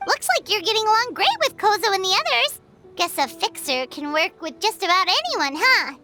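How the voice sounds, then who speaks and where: A woman voicing a small, excitable childlike fairy companion in a video game, squeaky and chirpy, with exaggerated delivery. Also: a little fast. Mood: chatty. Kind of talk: animated video game dialogue. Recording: background music.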